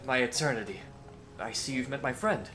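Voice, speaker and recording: A man playing a courteous, earnest young Victorian gentleman hero in a scripted audio roleplay, with formal, hesitant phrasing. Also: mid-range voice, a little fast, close to an everyday voice. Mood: fond, bashful.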